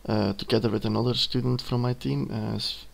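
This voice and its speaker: A young man in his twenties, a native Dutch speaker with a Dutch accent, hesitantly talking through a screen-recorded software tutorial, with many ums and self-corrections. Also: medium pitch, fairly even intonation, fairly quick, gravelly. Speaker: student giving a tutorial. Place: at a computer, indoors.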